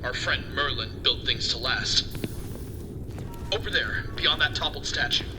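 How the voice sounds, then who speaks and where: A man's voice: mid-range voice, measured pace, noisy background, natural voice. A man playing a smug, commanding villain in an audio drama, with a wry, authoritative manner. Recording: long reverb, music behind.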